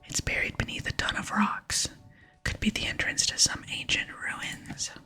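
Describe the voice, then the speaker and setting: A woman reads an earnest, courteous hero from a fantasy game, eager to help. It is delivered as a soft, breathy whisper with a bright edge and quiet music beneath. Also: moderate pace, natural voice. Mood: friendly, curious. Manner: polite, earnest. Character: eager, polite young adventurer, the game's player hero. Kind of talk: whispered ASMR reading of game dialogue.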